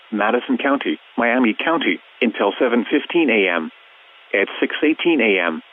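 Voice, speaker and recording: A synthetic male voice with an American accent, formally and impersonally reading scripted emergency weather alerts like a radio announcer. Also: medium pitch. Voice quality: synthetic text-to-speech voice. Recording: muffled, phone-like weather radio broadcast.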